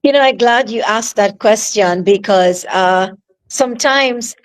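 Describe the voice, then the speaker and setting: A woman singer from Trinidad with a Trinidadian accent, answering interview questions over a remote call in a soft, halting way with many fillers and restarts. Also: medium pitch. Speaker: singer guest.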